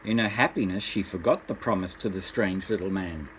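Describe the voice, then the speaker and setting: A man's voice: sits low, fairly quick, a bit bright, a little hiss or hum, low-quality recording. An older man, a grandfather, reads a bedtime story aloud expressively, addressing small children.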